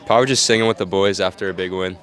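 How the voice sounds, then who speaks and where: Deep, flat young athlete. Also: male voice, rapid-fire. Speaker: lacrosse player. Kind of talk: casual interview answers.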